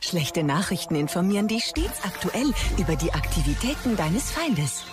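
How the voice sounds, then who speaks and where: An actress playing a breezy, mock-friendly automated subscription program in a sci-fi audio drama. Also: female voice, fairly low pitch, very animated, moderate pace, music in the background. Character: cheery female automated bad-news subscription program. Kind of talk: sing-song automated announcement in audio drama.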